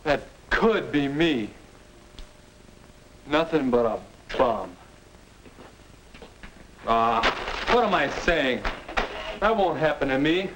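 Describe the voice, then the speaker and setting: Sulky, doubting American high schooler, slow grumbling delivery, noisy fifties educational film sound. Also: male voice, animated, a bit bright. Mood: brooding, frustrated. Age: young adult. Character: sullen aimless high school boy, defensive and doubting. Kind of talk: 1950 instructional film acting.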